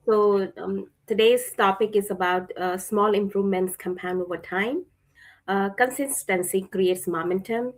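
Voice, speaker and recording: A woman's voice: medium pitch, steady tone, measured pace, poor audio. Woman from India speaking hesitantly. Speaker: guest speaker. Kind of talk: casual online talk.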